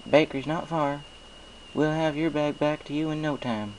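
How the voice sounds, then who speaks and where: A man acts out a young country mare from a storybook, confident and reassuring, with a folksy drawl. The voice sits lifted in pitch, with some gravel in it and a faint noise behind. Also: fairly even intonation, normal speed, lightly acted, poor audio. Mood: friendly. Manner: folksy drawl with dropped g's. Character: friendly, honest young female country pony, confident and reassuring.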